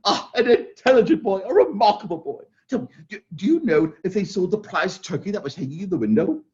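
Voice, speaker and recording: A man's voice: high-pitched, lively intonation, a little fast, a little mellow. A man reading aloud and voicing an elderly miser overcome with joy, breathless and cackling, in a dramatic old-time radio style, on a dull lo-fi recording. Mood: delighted.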